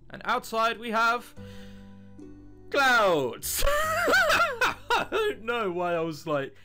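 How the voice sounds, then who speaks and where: Animated, bright-voiced sarcastic man playing a game. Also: high-pitched. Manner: sarcastic, mildly sweary. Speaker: let's player. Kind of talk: casual joking gameplay commentary, reading visual novel text aloud.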